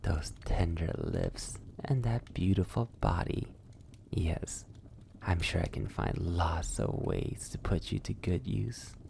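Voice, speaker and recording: A man playing a seductive, sadistic vampire lord in an intimate ASMR roleplay, mockingly courteous, taunting in drawn-out phrases that sink toward a whisper. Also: sits low, warm tone, exaggerated voice. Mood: seductive, persuasive. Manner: breathy near-whispers, drawn-out taunting, archly polite.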